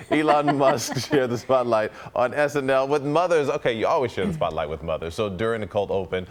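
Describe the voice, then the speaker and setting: A man anchoring morning news in a casual, chatty style. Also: fairly low pitch.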